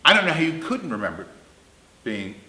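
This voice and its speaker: A man in his fifties tells comic stories in a roomy, echoing space. His delivery is lively and swings around in pitch. He is blunt, crude and emphatic. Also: brisk. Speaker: storyteller. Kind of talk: comedic anecdote storytelling. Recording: roomy, echoing indoor sound.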